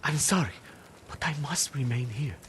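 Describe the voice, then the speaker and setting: An actor playing a stubborn, principled pacifist healer monk, earnest and pleading, in a dramatic video game cutscene. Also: male voice, mid-range voice, animated, normal speed, fairly bright tone, slight background noise, close to an everyday voice. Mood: startled, pleading. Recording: background music under the dialogue.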